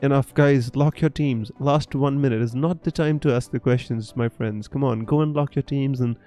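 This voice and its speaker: A man's voice: medium pitch, normal speed, dark tone, soft background music. A young man from Punjab in North India, with an accent picked up from gamers around the world, chatting on a live stream and reading out viewer comments with many fillers and restarts. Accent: mixed international English, picked up online. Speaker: fantasy football streamer.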